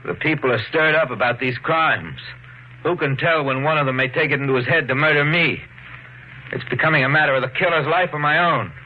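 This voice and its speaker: An actor playing a grave, guarded husband under suspicion, earnest and formal in a 1950s radio drama. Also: male voice, a little high, fairly quick, lightly acted. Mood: grave determination. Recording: very muffled, faintly hissy old radio broadcast.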